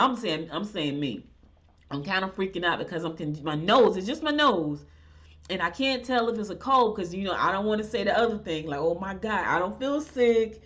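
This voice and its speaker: A woman hosting a podcast, chatting in a rambling, animated way. She sounds congested, with a stuffy nose. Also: mid-range voice, highs cut off. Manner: rambling, animated, talks to listeners directly. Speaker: podcast host. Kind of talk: casual chatty monologue.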